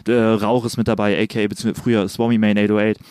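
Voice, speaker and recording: Male interviewer, rambling questions, quick talk, dry close mic. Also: on the low side, level tone, darkish tone, smooth, no room sound. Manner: long winding questions with many "ähm" and "letztendlich". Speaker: podcast interviewer.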